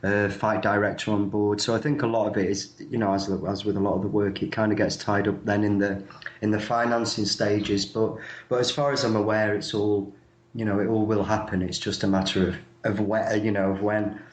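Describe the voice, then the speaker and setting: A man in his thirties with a fairly deep voice, recorded with noticeable room echo. He talks casually as an actor being interviewed, feeling his way through sentences with repeats and modest asides. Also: level tone, a little fast. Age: 30s. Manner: hesitant, self-effacing, often repeating words.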